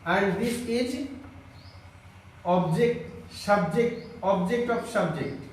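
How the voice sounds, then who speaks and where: A man teaching an online grammar lesson in a halting, instructional way, explaining step by step to his students. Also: high-pitched, slight background noise. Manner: halting, instructional, with repeated check questions. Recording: very echoey room, distant mic.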